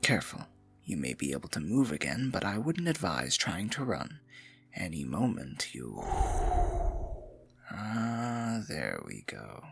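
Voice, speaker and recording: Evil court magician-advisor, fantasy ASMR roleplay. Low and gravelly, mocking, condescending, intimate, music underneath. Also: wildly swooping pitch, fairly bright tone, heavily acted. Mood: smug threatening. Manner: silky, mocking, condescending, drawn-out taunting. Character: scheming evil royal advisor and magician, smugly menacing.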